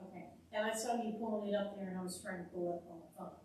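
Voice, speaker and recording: A woman with a loud, mid-pitched voice steers a zoning meeting, talking things through with ums and asides. The sound is distant and very roomy, with a faint hum. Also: steady tone, racing. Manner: chatty, thinks aloud with fillers, says her voice is loud. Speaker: zoning commission member leading the discussion. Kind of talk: public meeting discussion. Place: township meeting room. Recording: echoey meeting room from a distant mic.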